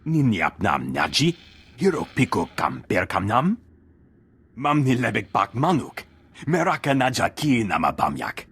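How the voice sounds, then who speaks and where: A man voicing a gruff, rough-voiced alien enforcer who speaks an invented tongue in a video game. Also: medium pitch, big pitch swings, measured pace, a bit bright, exaggerated voice. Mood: defiant. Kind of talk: video game character dialogue.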